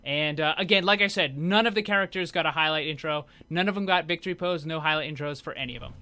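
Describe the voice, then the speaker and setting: Male gaming host, fairly high and very bright tone, informal explanatory commentary. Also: fast. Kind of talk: casual video game showcase commentary.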